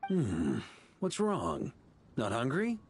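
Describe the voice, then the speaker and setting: A jovial, teasing knight commander from a fantasy game. His mid-pitched voice swoops widely and drawls casually, never pompous, with clear noise behind it. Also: huge pitch swings, slow pace. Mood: friendly. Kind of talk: video game voice acting.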